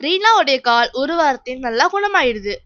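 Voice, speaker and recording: A woman with a high voice narrates a children's cartoon story. Her delivery is scripted storytelling, aimed at young listeners. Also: lively intonation, moderate pace, fairly bright tone, lo-fi sound.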